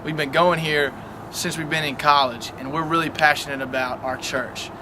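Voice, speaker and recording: A man's voice: a little high, fairly even intonation, moderate pace, noisy background. A man in his twenties with a Southern American accent, speaking upbeat in a casual video submission outdoors. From Alabama. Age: 20s. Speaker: college student. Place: outdoors by a stadium.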